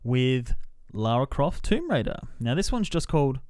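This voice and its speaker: Guy hosting a movie podcast, really lively pitch, smooth clear voice, no room echo. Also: moderate pace, clean studio sound. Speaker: podcast host. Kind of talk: film review chat.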